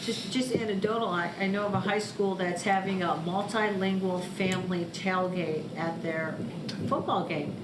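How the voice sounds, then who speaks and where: A woman's voice: mid-range voice, fairly even intonation, normal speed. A middle-aged woman speaking as a board member in a public meeting discussion. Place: large meeting room. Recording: echoey room with clear background noise.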